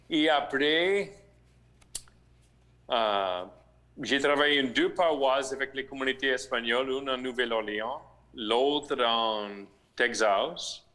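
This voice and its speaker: A priest with an American accent and English as his first language, answering interview questions slowly and haltingly, with restarts. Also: medium pitch, pushed and bright. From United States (Missouri). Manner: slow, halting, with restarts and searched-for words. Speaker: rector of a shrine, priest.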